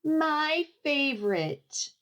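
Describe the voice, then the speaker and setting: A woman's voice: high voice, very animated, very slow, a bit bright, dull audio, voice pushed up, heavily acted. A woman voicing a whiny, picky little boy pea in a storybook, with an exaggerated manner. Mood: squealing delight. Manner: whining, exaggerated.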